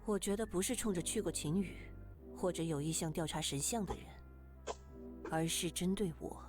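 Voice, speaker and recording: A woman's voice: fairly low pitch, normal speed, natural voice. An actress playing a perceptive, direct young woman in a visual novel, speaking in a probing, self-assured way. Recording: clear game music behind the voice. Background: game music.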